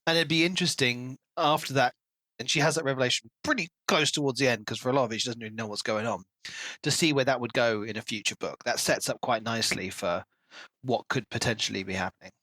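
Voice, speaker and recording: A man's voice: medium pitch, fast, bright, forceful tone, dry recording, rough recording. A man co-hosting a podcast, chatting analytically and conversationally about a book. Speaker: podcast co-host. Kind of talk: casual book discussion.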